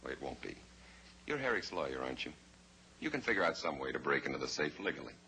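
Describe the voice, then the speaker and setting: An actor playing a cold, scheming nephew who talks with calm, polite menace in a 1950s radio drama. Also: male voice, extremely low pitch, quick pace, exaggerated voice. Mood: menacing. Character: cold scheming nephew, adult man, ruthless. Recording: old radio recording with faint hiss.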